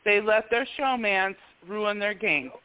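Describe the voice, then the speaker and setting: A man's voice: high-pitched, measured pace, clear, resonant tone. A man in a casual podcast group chat, agreeable, with many short affirmations. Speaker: podcast panelist. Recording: phone-like call audio.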